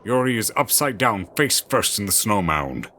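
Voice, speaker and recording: A man's voice: on the low side, very animated, moderate pace, sharp tone. A man narrating an audio drama with dramatic scripted storytelling delivery. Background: music.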